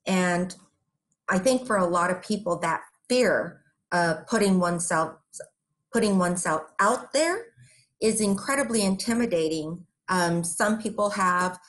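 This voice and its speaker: A woman in her forties hosting a conversational session from home, speaking reflectively in measured, halting phrases. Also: fairly low pitch, animated. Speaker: session host. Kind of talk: conversational interview on a video call. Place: room at home, indoors.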